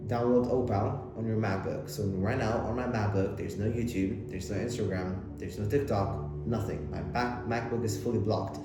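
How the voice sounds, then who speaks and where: A young man from Lebanon whose first language is Arabic, giving casual self-improvement advice to the camera, talking fast with many restarts and fillers. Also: on the low side. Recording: very echoey room with clear background noise.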